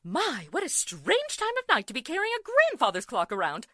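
A woman's voice: sits high, wildly swooping pitch, normal speed, fairly bright tone, full character voice. A woman playing a gushing, flighty middle-aged society matron in an old-time radio drama, with an effusive, theatrical manner. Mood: fussy, chatty. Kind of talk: 1940s-style radio drama acting.

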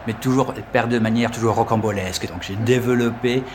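A French man in his fifties, a tour guide answering interview questions casually, pausing often with fillers and restarting his phrases. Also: medium pitch, steady tone, background noise. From France. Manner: hesitant, with many fillers and restarts.

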